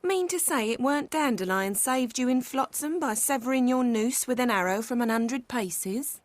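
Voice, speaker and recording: Casting: actress for credulous, chatty maid in a fantasy game, lightly high register, respectful, rustic phrasing. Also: female voice, animated, moderate pace, no room sound, natural voice. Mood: earnest. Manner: rustic, nonstandard grammar, respectful. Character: chatty, credulous, deferential servant girl. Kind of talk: video game character acting.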